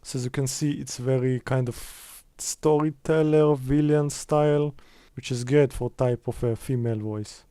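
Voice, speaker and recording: A man's voice: mid-range voice, fairly even intonation, unhurried, hardly any pauses, warm tone, smooth, no room sound, studio quality. A man presenting a casual step-by-step screen tutorial in a conversational way.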